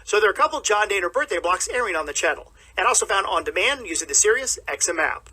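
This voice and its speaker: A man presenting as a classic radio host in an upbeat style, with a husky edge to his voice. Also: high-pitched, big pitch swings, fast talker, sharp tone.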